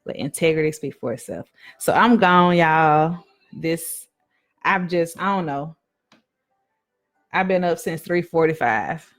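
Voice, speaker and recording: A woman's voice: low-pitched, unhurried, long pauses, soft background music. A woman minister giving a casual live-streamed devotional talk, emphatic and repetitive in a preaching cadence, addressing viewers as y'all.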